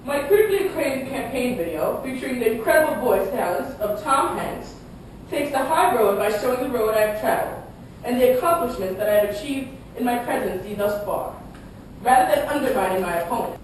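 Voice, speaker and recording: A woman's voice: expressive, a little fast, natural voice. A woman playing a confident male president giving scripted campaign statements in a puppet show debate. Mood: earnest. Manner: declarative campaign speechmaking. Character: male US president, confident candidate defending his record. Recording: echoey room, distant mic, rumbling noise.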